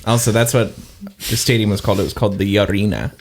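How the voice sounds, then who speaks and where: A man playing a tabletop game, measured and deadpan. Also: on the low side, a little hiss or hum. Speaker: player. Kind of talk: casual tabletop play.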